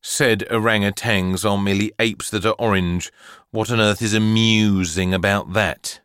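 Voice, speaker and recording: A man's voice: medium pitch, animated, a little slow, fairly bright tone, clean tone, dry recording, clean studio sound. A man voicing a pompous, humourless twelve-year-old boy from a storybook, with stiff, formal, disdainful speech. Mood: cold contempt.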